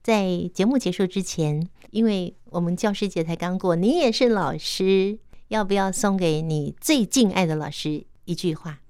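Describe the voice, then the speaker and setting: A woman's voice: fairly low pitch, normal speed, hardly any pauses, darkish tone, clean tone, no room sound. A woman with a Taiwanese Mandarin accent hosting a conversational radio interview, asking engaged questions. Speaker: radio host interviewing a guest.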